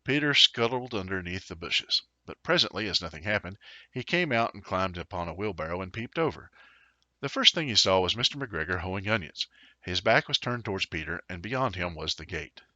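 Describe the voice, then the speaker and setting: A man's voice: low voice, lively intonation, fairly quick, pushed and bright, no room sound, highs cut off. A man narrating a children's storybook as a storyteller, expressively, with dramatic and playful emphasis.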